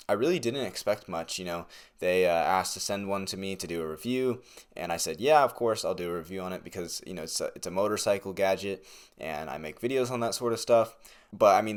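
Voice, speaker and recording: A young man giving a casual, conversational product review to camera in a laid-back, slangy way. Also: low-pitched. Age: young adult. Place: small bedroom, indoors.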